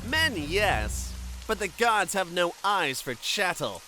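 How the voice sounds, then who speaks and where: Man acting a high, piercing, haughty young noble. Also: very animated, slow pace, sharp tone, faint music behind, heavily acted, low-quality recording. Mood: scornful. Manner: sneering, haughty, declamatory. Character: arrogant young noble squire, contemptuous, cruel, hot-headed. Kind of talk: theatrical archaic fantasy dialogue in a fan dub.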